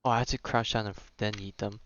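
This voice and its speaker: A man's voice: fairly low pitch, fairly even intonation, fast talker, a bit bright. Slangy young man with slightly muffled sound. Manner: slangy, reactive. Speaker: game streamer. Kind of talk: casual playthrough commentary.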